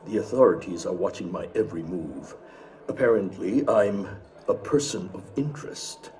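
A cagey older crime boss in a video game. His low, mellow voice moves through lively swings of pitch. He is formal and measured, always politely evasive. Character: smooth, cagey older male crime boss and casino owner. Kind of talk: video game cutscene acting.

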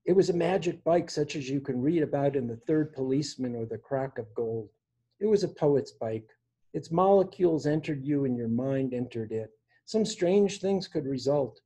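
A man in his sixties reading poetry aloud in a measured way, pausing between phrases, recorded at home on a video call. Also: medium pitch, animated, warm tone, breathy edge, low-quality recording. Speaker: poet reading own work. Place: indoor room at home. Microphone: device mic on a video call.

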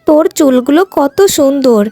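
Woman acting a modest, high-voiced young village friend. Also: normal speed, vocal fry, warm tone, no room sound. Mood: admiring, wistful. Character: modest, sensible young village girlfriend of the heroine. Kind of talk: cartoon moral-tale voice acting.

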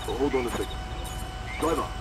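An actor playing a scheming, impatient general in a spy video game, with measured, menacing speech. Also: male voice, medium pitch, very noisy, lightly acted. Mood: cold, scheming.

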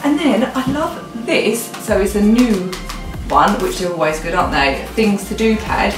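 A woman's voice: medium pitch, lively intonation, a little slow. Chatty young British woman, roomy sound. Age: young adult. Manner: informal, rambling, thinking aloud. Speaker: vlogger. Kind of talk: casual chatty haul vlog. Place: living rooms at home. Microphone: camera a few feet away. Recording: roomy, distant mic, music behind.

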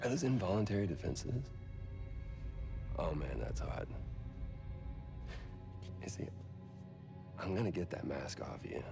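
A smug gravelly corporate villain. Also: male voice, deep voice, big pitch swings, measured pace, pauses often, full character voice. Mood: gleeful. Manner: casual, mocking, self-satisfied. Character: smug scheming male corporate executive villain. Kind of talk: video game cutscene acting. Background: game score music.

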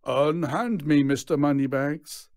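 A man's voice: on the high side, measured pace, darkish tone, dry recording, studio quality, pitched above the performer's own voice. A man voicing a pompous, suspicious male gang boss in a children's storybook, blustering emphatically. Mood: indignant.

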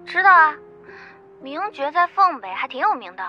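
A woman's voice: sits high, very animated, moderate pace, highs cut off, soft background music. A woman playing a feisty, brash young character, snappy and easily annoyed, in an audiobook drama. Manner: snappy, exasperated.

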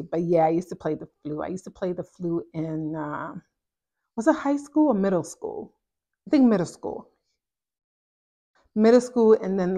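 A chatty woman in her thirties, originally from Ghana, answers viewer questions in a relaxed, animated way. She talks to camera as a therapist host, close on a lapel mic in a small indoor room. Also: lowish voice. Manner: chatty, animated, gestures a lot while talking. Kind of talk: casual Q&A chat to camera. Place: small indoor home office.

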